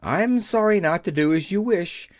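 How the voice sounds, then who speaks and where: A man's voice: sits high, expressive, moderate pace, pitched above the performer's own voice. A man voicing a polite, determined scarecrow in a children's storybook, earnest and insistent.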